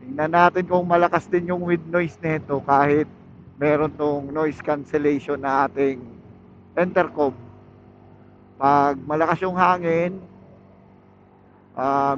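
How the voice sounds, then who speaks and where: A man's voice: a little high, level tone, a little slow, long pauses. A man chats casually and slangily to his viewers as a motovlogger, talking while he rides. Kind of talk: casual vlog chat while riding. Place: outdoors on a motorcycle at night. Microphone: intercom microphone inside a helmet. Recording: muffled helmet intercom mic, wind and engine noise. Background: vehicle and wind noise.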